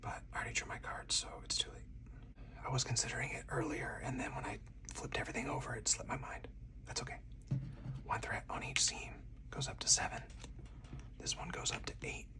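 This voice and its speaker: A man speaking in a hushed ASMR whisper, thinking aloud as he talks through each move of a solo card game. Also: medium pitch, fairly even intonation, brisk, far from the mic.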